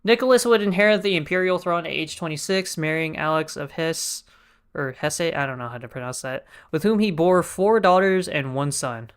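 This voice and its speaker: A man's voice: fairly high pitch, moderate pace, clean tone. A man giving casual, slangy, joking gameplay commentary as a streamer. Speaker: game streamer.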